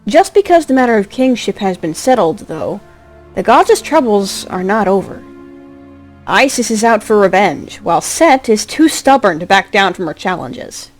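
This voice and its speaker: A woman narrating a scripted drama as a storyteller, expressive, with a wry touch. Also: medium pitch, normal speed. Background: music.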